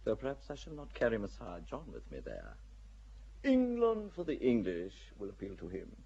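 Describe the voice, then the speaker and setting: An actor playing a proud, scheming English earl in a radio play, speaking haughtily with a husky voice. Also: male voice, mid-range voice, huge pitch swings, normal speed, mellow, muffled recording, slight background noise. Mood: sardonic.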